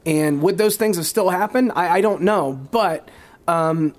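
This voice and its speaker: Man, higher pitch, earnest, halting, repeats words, casual podcast talk. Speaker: podcast co-host. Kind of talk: casual conversation.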